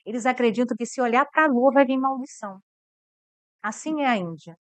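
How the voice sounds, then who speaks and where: Brazilian woman missionary, earnest interview guest, clear higher voice, dry sound. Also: brisk, dry recording. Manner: earnest, repeats words as she thinks. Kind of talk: interview about mission work.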